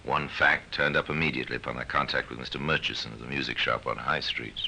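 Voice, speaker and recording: An actor playing a sardonic, portentous male narrator of an old-time radio crime drama, measured and wry. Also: fairly even intonation, pushed and bright, heavily acted. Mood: wry, ominous. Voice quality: very deep, gravelly. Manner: measured, wry, ominous storytelling. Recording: noisy vintage radio recording.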